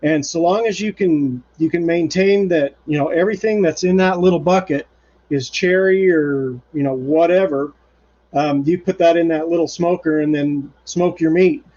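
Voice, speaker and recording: A man's voice: fairly high pitch, moderate pace, mellow. A man hosting a live woodturning demonstration in his home shop, talking casually with plenty of fillers and restarts. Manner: rambling, many fillers and restarts. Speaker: woodturning livestream host. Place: home woodshop. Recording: muffled lo-fi stream audio.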